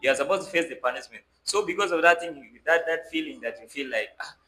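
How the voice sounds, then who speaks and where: A man's voice: fairly high pitch, lively intonation, fast, bright, forceful tone. A young man hosts a conversational podcast, often repeating phrases as he builds his points. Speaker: podcast host. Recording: roomy echo with faint background noise.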